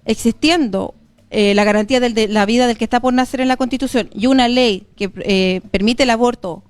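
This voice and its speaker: A woman's voice: a little high, brisk. A Chilean woman speaking as an interview guest, explaining and arguing her points in a conversational way. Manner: explanatory, argumentative.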